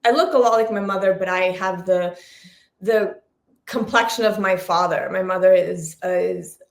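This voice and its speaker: A woman's voice: fairly low pitch, moderate pace, gravelly. A woman with an American accent speaking reflectively and casually as an interview guest, often restarting and rephrasing her thoughts. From Sri Lanka, United States (Massachusetts) and France. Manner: reflective, self-correcting, stop-and-start. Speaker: interview guest, producer and journalist. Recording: roomy echo.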